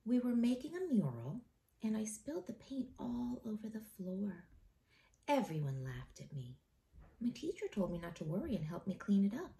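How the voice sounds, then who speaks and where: A middle-aged woman, an elementary school teacher, reading a picture book aloud to young children in an expressive, encouraging way and pausing to ask them questions. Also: medium pitch, gravelly, poor audio.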